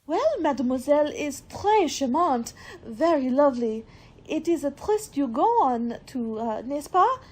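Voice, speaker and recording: High female voice, French accent, actress as gushing maid, halting English, radio drama. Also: lively intonation, a little mellow, rough recording. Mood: gushing, teasing. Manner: hesitant, searching for English words. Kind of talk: radio play acting.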